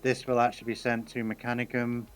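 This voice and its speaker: Man, mid-pitched voice, teasing casual stream banter, faint music underneath. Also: little pitch movement, fast. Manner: teasing, joking. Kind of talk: casual chat on a live stream.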